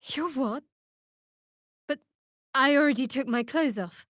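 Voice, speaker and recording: Sardonic, guarded young woman cleric, higher-pitched. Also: a little slow, a bit bright, no room sound. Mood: tender, confiding. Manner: dry, wry, sardonic. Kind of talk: video game character voice lines.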